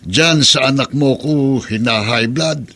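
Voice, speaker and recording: A man's voice: medium pitch, takes it slowly, vocal fry, fairly bright tone, full character voice. An actor with a Filipino accent playing a grumpy, protective elderly father and grandfather in a radio drama, blunt and grumbling. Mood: exasperated.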